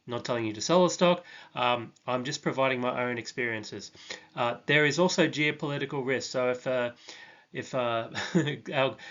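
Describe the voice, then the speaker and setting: A man's voice: mid-range voice, a bit bright, highs cut off. A man hosting his own channel, talking through a stock analysis in a casual, hesitant way with many ums and restarts.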